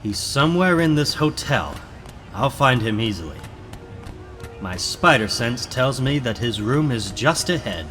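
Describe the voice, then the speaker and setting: Guy doing a young comic superhero, earnest n urgent, talking himself thru it, loud music under. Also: mid-range voice, expressive, unhurried. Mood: relieved, resolute. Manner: dramatic, thinking aloud, urgent. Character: earnest, determined young male superhero narrating his own fight. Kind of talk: comic book dramatic reading.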